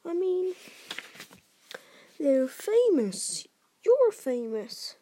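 A woman voicing a huge, gruff, hot-tempered male giant with rustic speech and booming, growling outbursts in a storybook reading. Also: takes it slowly, dark tone. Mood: bewildered, agitated. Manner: rustic dialect, emphatic outbursts.

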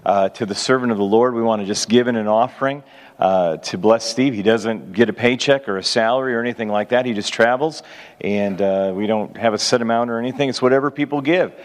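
A loud-voiced man as host pastor, making halting announcements to his congregation. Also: mid-range voice. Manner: halting, many "uh" fillers. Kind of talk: church announcements. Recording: reverberant church hall.

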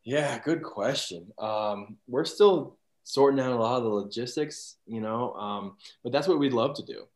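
A man's voice: medium pitch, measured pace, few pauses, a little mellow, roomy sound. A man in his twenties, a guest on a podcast, talking about money in an easygoing way, with loose restarting phrases. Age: 20s. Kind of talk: casual chat.

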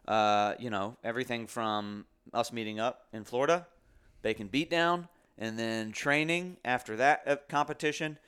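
Smooth-voiced podcast host. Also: male voice, medium pitch, normal speed. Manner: relaxed, joking, loose with fillers. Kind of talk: casual podcast chat.

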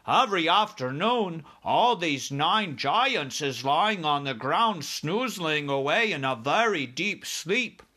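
A man voicing a big, friendly, simple giant in a storybook, earnest and excitable, with mangled grammar and muddled made-up words. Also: fairly high pitch, pitched above the performer's own voice, exaggerated voice. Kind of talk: storybook character voice.

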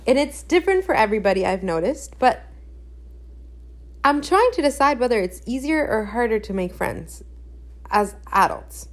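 A woman's voice: a little high, lively intonation, moderate pace. A young woman hosting a podcast in a casual, candid chat, repeating phrases for emphasis, a native Spanish speaker. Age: young adult. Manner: chatty, candid, emphatic with repetition. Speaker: podcast host.

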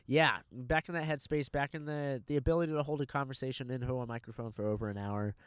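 A young man hosting a casual podcast, relaxed and profane, calling everyone dude. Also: mid-range voice, fast talker, clean tone, dry recording, clean studio sound. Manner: laid-back, swears a lot, says dude constantly. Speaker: podcast host and stand-up comic. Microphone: handheld microphone.

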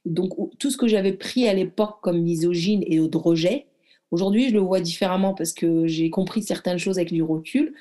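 Guest, woman in her thirties, from Morocco; relaxed, halting storyteller with a dark, soft tone. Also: on the low side. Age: 30s. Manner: reflective, halting, lots of fillers and restarts. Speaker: guest athlete and former firefighter. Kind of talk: casual conversational storytelling.

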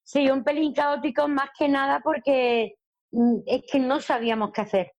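A woman's voice: high voice, steady tone, moderate pace, darkish tone, muffled recording. A woman with an Andalusian accent who coordinates groups at a campsite, explaining things step by step in an easygoing way, with many fillers. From Andalusia, Spain. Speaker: campsite group coordinator and social media manager. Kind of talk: casual interview answers.

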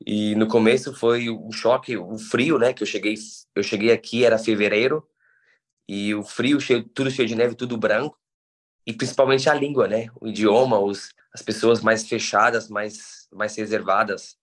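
Low-voiced man from São Paulo. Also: fairly even intonation, moderate pace. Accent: São Paulo Brazilian Portuguese. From Brazil. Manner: hesitant, restarts words, explains patiently. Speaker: guest. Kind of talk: conversational interview.

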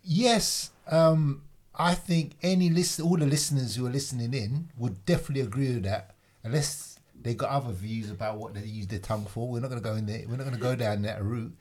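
A man's voice: medium pitch, brisk, darkish tone. A man joking around as a co-host on a casual radio chat show. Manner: joking, playful, laughs.

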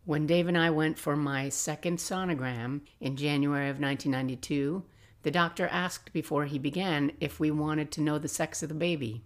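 A woman in her sixties with a low-pitched voice hosts a storytelling podcast. She reads her personal memoir expressively, with a reflective turn and wry asides. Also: steady tone, normal speed. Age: 60s.

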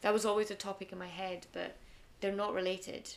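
A guest on a casual podcast, speaking in a rough, gravelly, froggy voice. The pitch sits in the middle range and the talk is animated, with bursts of laughter and quieter asides. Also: female voice, a little fast. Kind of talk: casual conversation.

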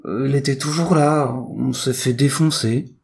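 High-pitched brash young dimwit. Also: male voice, unhurried, vocal fry. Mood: sheepish. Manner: brash, slangy, indignant. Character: dim, foul-mouthed, brash young twin troublemaker.

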